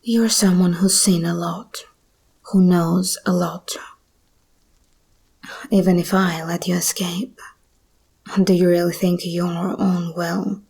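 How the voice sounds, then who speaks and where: A woman voicing a seductive, menacing female monster hybrid in an ASMR roleplay, speaking in a breathy whisper with slow, deliberate, teasing delivery. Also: fairly low pitch, little pitch movement, darkish tone, heavily acted. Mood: wary, threatening.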